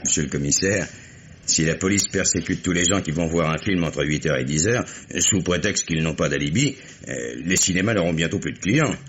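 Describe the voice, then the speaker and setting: Very deep-voiced, sardonic male suspect. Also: lively intonation, brisk, a bit bright. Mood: sarcastic. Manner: indignant, evasive, sarcastic politeness. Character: male crime suspect, touchy, sardonic former swindler. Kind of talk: French radio mystery drama acting. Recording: faintly noisy old radio recording.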